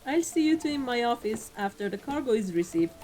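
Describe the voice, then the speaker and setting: A woman playing an amused, ruthless vampire mafia boss in a scripted ASMR roleplay, speaking in a deliberate, theatrical, condescending way. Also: fairly high pitch, expressive, dark tone, natural voice. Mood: pleased, commanding. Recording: voice over background music.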